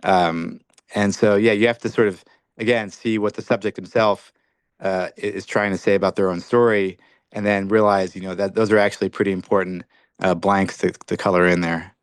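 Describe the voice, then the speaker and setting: Author guest, male, very low voice, dry close sound, thoughtful and halting. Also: little pitch movement, clear, resonant tone, dry recording. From Belgium. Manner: reflective, many restarts. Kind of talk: podcast interview.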